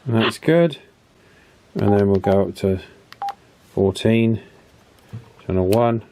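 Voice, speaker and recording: A man giving a casual hands-on repair demonstration as host, unhurried and thinking aloud through each step. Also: fairly low pitch, warm tone, a little hiss or hum. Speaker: host of a radio repair channel.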